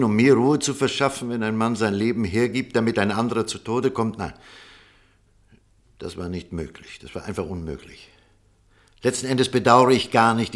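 Man acting low-voiced, defensive, authoritative doctor. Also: natural voice. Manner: formal, insistent, brusque with hesitations. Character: defensive, authoritative male doctor, conscience-troubled clinic surgeon. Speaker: actor. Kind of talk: German radio play acting.

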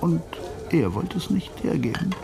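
Chatty, gravelly streetwise informant. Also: male voice, fairly high pitch, sing-song. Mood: cagey, haggling. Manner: teasing, drawn-out storytelling. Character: streetwise male police informant, cagey, chatty, haggling. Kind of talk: radio crime drama acting. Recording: music playing behind the voice.

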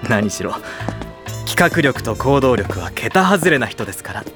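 A man playing a courteous, unflappable high school boy who philosophizes formally in an anime audio drama. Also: sing-song, measured pace, music in the background. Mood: amused, genial. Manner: courteous, smooth-spoken, formal.

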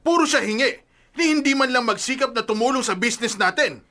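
Stern high-pitched father. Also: expressive, a little fast, bright, forceful tone, full character voice. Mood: exasperated. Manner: firm, scolding. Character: stern, exasperated father who wants discipline. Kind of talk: Filipino radio drama acting.

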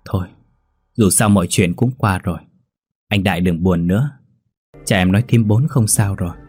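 A forgiving young sister speaks soft, consoling words, as a male narrator plays her. The husky, low voice is heard close and dry. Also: normal speed, no echo.

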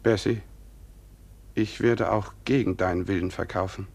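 An actor playing a grave, stubborn farmer husband who is convinced of doom, in a 1950s German radio play. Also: male voice, low voice, steady tone, normal speed, gravelly, darkish tone. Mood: resolute. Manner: grave, resolute.

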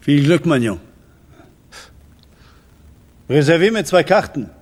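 An actor in a radio play playing a cool, condescending older loan shark. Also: male voice, on the high side, very animated, takes it slowly, a little mellow, slight background noise. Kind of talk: German radio play acting.

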